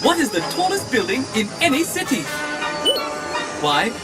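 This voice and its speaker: An actor playing a prissy, scrambled, recklessly giddy humanoid droid in an audio drama, with a fussy, theatrical delivery and music behind him. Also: male voice, extremely high pitch, huge pitch swings, moderate pace, full character voice.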